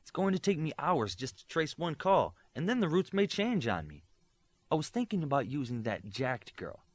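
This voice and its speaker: A busy, methodical female tech analyst, acted by a man narrating an audiobook, gives businesslike detail. The voice is raised from a low male register and lively in pitch. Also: normal speed. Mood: focused, harried.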